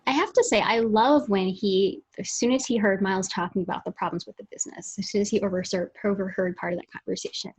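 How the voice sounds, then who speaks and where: A woman speaks as co-host of a casual book chat on a video call. Her voice is husky, mid-pitched, and she keeps restarting and rewording her thoughts. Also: quick pace, few pauses, a little mellow, rough recording. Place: indoor room at home, video call.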